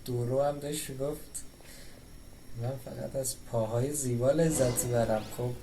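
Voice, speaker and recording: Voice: mid-range voice, steady tone, very fast, dark tone, a little hiss or hum, rough recording. A game streamer giving casual, conversational commentary on the play. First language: Persian.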